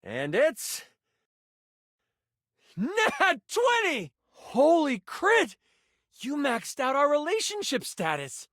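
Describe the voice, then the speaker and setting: A man's voice: extremely high pitch, huge pitch swings, slow pace, long pauses, fairly bright tone, no room sound, studio quality, full character voice. A man playing a flamboyant, playful tabletop-gaming dice character in a video game, with theatrical, mock-archaic flourishes. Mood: excited, theatrical.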